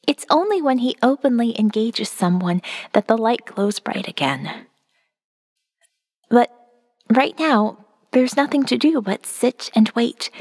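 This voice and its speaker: A woman performs a weary female survivor narrating her diary for an audio novel. Her voice sits fairly high, wry and watchful. A long reverb trails her words, as in a hall.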